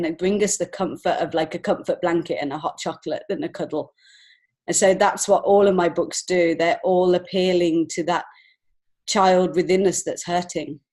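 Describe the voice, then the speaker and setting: A woman's voice: on the low side, a little mellow. A woman telling personal stories as a podcast guest, speaking in a heartfelt, informal and emotionally open way. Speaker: podcast guest, children's book author and illustrator. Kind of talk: personal storytelling in an interview.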